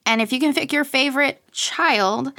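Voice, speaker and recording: A woman's voice: high-pitched, moderate pace, gravelly, pushed and bright, no room sound, clean studio sound. A woman hosting a podcast, asking questions in a casual, informal and agreeable conversational style. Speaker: podcast host, interviewer.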